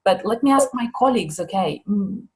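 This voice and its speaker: A woman's voice: medium pitch, lively intonation, brisk, rough recording. A woman presenting a teaching course in a live online question session, chatty and hesitant, with many fillers and restarts. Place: Limassol.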